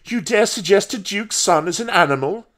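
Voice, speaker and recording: A man's voice: fairly high pitch, steady tone, takes it slowly. A man voicing a proud young nobleman's son in an audiobook, intense and defiant as he holds down fear. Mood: indignant.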